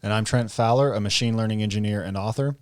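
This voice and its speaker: Fast-talking male podcast co-host. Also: fairly low pitch. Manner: brisk, friendly introductions. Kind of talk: podcast hosting.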